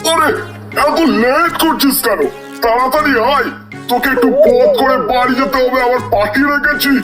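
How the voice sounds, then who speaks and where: A boastful, clumsy demon king from a comic cartoon, blustering and whiny, voiced high and thin. He grumbles and begs by turns. A loud music track runs under every line. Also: expressive, moderate pace. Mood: scolding.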